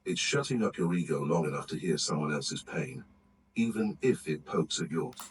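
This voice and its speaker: A man reading short aphorisms aloud in a measured, even narration. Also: rumbling low. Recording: distant mic with faint background noise.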